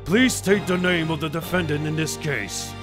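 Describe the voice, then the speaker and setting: A man acting a stern, formal courtroom judge in a game fandub, speaking solemnly and deliberately. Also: on the high side, music in the background, close to an everyday voice. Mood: solemn, authoritative.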